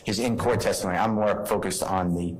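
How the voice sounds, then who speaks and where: A man arguing as a defense attorney in a courtroom, explaining his points in a halting way. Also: deep voice, fairly even intonation, a little hiss or hum, poor audio. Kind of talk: legal argument in court.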